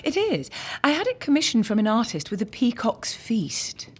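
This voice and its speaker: A woman's voice: a little high, huge pitch swings, normal speed, slightly rough, heavily acted. An actress playing a middle-aged, commanding lady of a noble house, controlled and gracious, in an audio drama. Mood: composed. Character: poised, commanding aristocratic lady, mother of the house.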